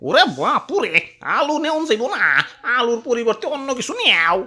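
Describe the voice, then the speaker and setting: Woman playing a raspy, exclaiming cartoon shopper. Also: fairly high pitch, very animated, normal speed, bright, forceful tone, full character voice. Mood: astonished. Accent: Bangladeshi. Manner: exclaiming, exaggerated. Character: astonished, thrifty market customer. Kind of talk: comic cartoon voice acting.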